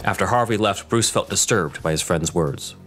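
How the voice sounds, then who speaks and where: Very deep-voiced male narrator. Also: moderate pace, a bit bright, faint music behind. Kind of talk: scripted audio drama narration.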